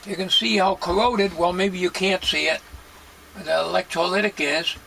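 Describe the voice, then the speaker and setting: An older man talking casually as he works at a bench in a home workshop, plain-spoken and musing, with halting delivery. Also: sits high, fairly even intonation, creaky, crowd noise. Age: 60s or older. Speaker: hobbyist radio restorer. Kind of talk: casual talking while working. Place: cluttered home electronics workshop, indoors. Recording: noisy home workshop recording.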